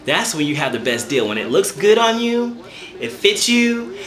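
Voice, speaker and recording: A man's voice: high voice, very animated, moderate pace, fairly bright tone, echoey room. A man giving an earnest, encouraging talk to camera, repeating words as he thinks. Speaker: spiritual vlogger.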